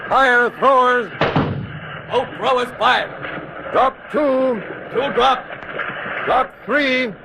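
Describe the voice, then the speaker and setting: An actor playing an urgent naval officer bellowing battle orders in an old-time radio drama. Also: male voice, very high voice, slow, gravelly, long pauses, heavily acted. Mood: commanding. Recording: noisy vintage radio, distant over engine roar.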